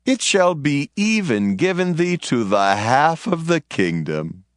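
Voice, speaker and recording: A man's voice: a little high, sing-song, measured pace, no echo, clean studio sound. An actor playing a commanding, generous Persian king with a stately, sweeping delivery. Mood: regal.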